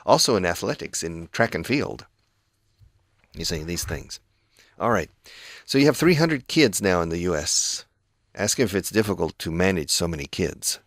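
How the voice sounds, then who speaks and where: A man with a low voice teaches English on the radio. He explains phrases patiently, moving between two languages. The studio sound is dry and close. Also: animated, moderate pace, no echo.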